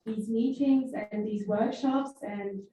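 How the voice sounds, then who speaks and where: A woman's voice: fairly high pitch, slow pace, warm tone, slight background noise. A woman giving a supportive comment from the audience at a workshop. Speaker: workshop participant. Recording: echoey hall, far from mic.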